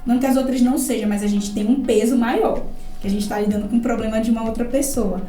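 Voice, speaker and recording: Mellow, slightly high-voiced Brazilian woman. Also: steady tone, quick pace. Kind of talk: casual podcast conversation. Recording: roomy, slightly distant, faint music.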